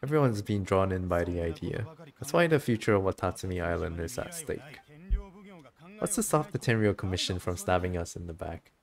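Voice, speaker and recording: Man reading as a stubborn low-voiced soldier. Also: lively intonation, a little fast. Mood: defiant, earnest. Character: stubborn, embittered male resistance soldier.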